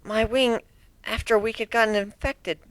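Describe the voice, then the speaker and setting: A man's voice: high-pitched, fairly even intonation, normal speed, fairly bright tone, no room sound, pitched above the performer's own voice. A man voicing a young female griffin on trial in a dramatic story reading, timid and defensive, with a hesitant, stammering delivery. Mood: subdued, explaining. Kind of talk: dramatic fan fiction reading.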